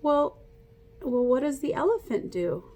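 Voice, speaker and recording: A woman reading a picture book aloud to children like a teacher, in an animated, playful way, coaxing young listeners to join in. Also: high-pitched, measured pace.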